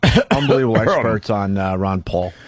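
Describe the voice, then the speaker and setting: Slightly low-voiced man hosting radio. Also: lively intonation, a little fast, husky, no echo, studio quality. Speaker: radio show host. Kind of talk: casual radio banter, moderating.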